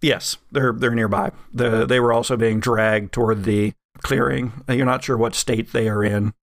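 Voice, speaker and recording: A man narrating a tabletop game as game master in a casual, improvised, halting way. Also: mid-range voice, fairly even intonation, no echo, clean studio sound. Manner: halting, searching for words.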